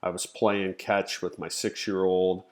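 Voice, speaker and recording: A man's voice: low-pitched, level tone, unhurried. A man in his thirties with a nasal, congested voice, teaching a live-stream chart lesson in a casual way that thinks aloud. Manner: conversational, thinks aloud, frequent "okay?" and "you know". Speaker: trading educator, live stream host.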